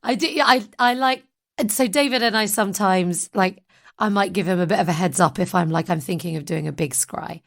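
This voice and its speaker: Slightly croaky woman podcast host. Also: medium pitch, fairly quick. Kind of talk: casual podcast chat.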